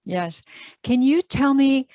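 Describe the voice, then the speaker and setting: A woman hosting an interview, asking gentle questions and affirming her guest. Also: high voice, lively intonation, slow, dry recording. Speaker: interview host. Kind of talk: warm encouraging interview.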